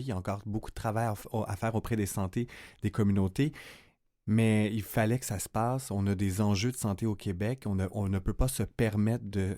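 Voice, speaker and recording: A man's voice: lowish voice, mellow. A man speaking earnestly as a guest on a radio panel. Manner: earnest, emphatic. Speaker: panel guest, community director. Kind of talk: round table discussion.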